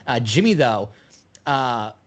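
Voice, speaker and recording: A man's voice: mid-range voice, expressive, takes it slowly, highs cut off. A man co-hosting a podcast, chatting loosely and with enthusiasm. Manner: enthusiastic, loose fan talk with fillers. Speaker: podcast co-host. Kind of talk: casual chat.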